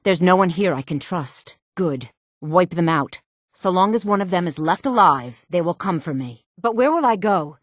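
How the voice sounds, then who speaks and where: Proud sultry noble lady from a fantasy game, deep velvety voice, very dry close sound, measured and dramatic. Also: lively intonation, no echo. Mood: fearful, pleading, then cold, resolute, then distressed. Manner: measured, dramatic, formal phrasing. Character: proud, secretive exiled noblewoman, sultry and imperious. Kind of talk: fantasy video game voice acting.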